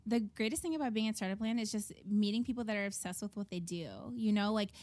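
Fast-talking woman in casual chat. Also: mid-range voice. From United States. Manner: fast, animated, quotes people in voices. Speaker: podcast host and venture capitalist.

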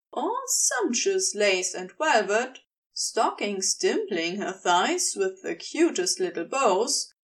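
A woman reading fiction aloud as an expressive narrator, with a wry, conversational way of telling the story. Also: mid-range voice, recorded from a distance. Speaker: audio fiction reader.

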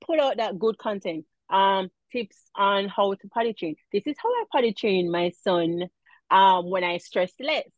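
A woman with a Jamaican accent talks as an interview guest, animated and quick to laugh, repeating phrases as she goes. Her voice sits mid-pitch, dry and close. The audio is dull and lo-fi. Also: dry recording. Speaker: guest, mom influencer. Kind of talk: casual interview chat.

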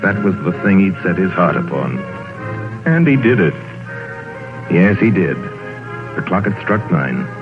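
A man's voice: low-pitched, very animated, a little slow, long pauses. A man narrating an old radio drama as a storyteller, relishing the tale in short dramatic sentences. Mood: wry storytelling. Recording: old radio broadcast, narrow band, music.

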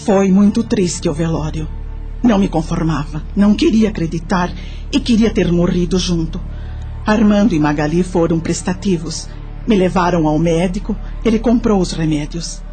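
A woman's voice: on the low side, moderate pace, dark tone, natural voice. An actress playing a lonely, grieving elderly woman with a Brazilian accent, recounting her life in a radio drama. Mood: mournful. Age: older. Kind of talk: radio drama narration in character. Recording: music under the voice.

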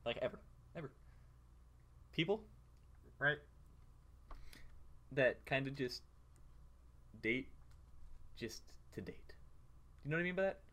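A man's voice: mid-range voice, lively intonation, slow, long pauses, a bit bright. A young man in casual conversation as a podcast co-host, earnestly explaining himself. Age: young adult. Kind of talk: casual chat.

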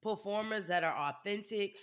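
A podcast co-host in casual chat who talks bluntly and reacts quickly. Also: medium pitch, steady tone, crowd noise.

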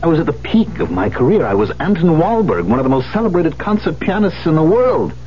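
A man's voice: fairly high pitch, big pitch swings, fairly quick. An actor playing a despairing, self-pitying concert pianist who has lost his hand, in a theatrical 1970s radio drama style. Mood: bitter despair. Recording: dull hissy 1970s radio recording.

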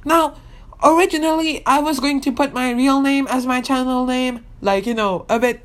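A woman's voice: high-pitched, moderate pace. An eighteen-year-old woman hosting her own podcast in casual, rambling chat, full of fillers and restarts, earnestly insisting she means what she says. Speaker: podcast host and YouTuber.

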